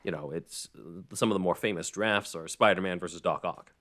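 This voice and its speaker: Very deep-voiced man hosting casually. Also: a little fast, a bit bright. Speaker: podcast host. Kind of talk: casual explanatory recap.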